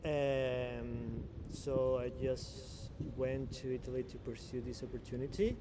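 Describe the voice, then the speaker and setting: A man's voice: mid-range voice, flat delivery, unhurried, background noise. A man from Venezuela in his thirties with a Venezuelan Spanish accent, speaking English as a second language. He talks reflectively in a casual interview, laughs often and sometimes searches for the right English word. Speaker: guest.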